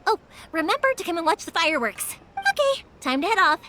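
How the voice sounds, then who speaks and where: A woman's voice: very high-pitched, very animated, fairly quick, noisy background. A bubbly young fireworks girl. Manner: bubbly, talkative, laughs often. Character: cheerful young fireworks-maker girl, warm and energetic. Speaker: game character. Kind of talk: video game voice acting. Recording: game audio with music behind.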